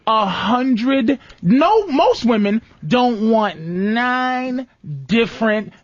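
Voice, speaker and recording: High-pitched blunt man, argumentative, dull recording. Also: animated, drawn-out words. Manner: emphatic, repeating points. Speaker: talk show host. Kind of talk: heated comic debate, crude casual talk. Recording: dull lo-fi dry studio audio.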